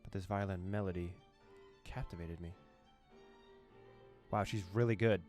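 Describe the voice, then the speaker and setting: A man's voice: low-pitched, fairly even intonation, a little slow, darkish tone. A man hosting a let's play, commenting casually in slangy asides and reading game text aloud. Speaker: gaming channel host. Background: game music.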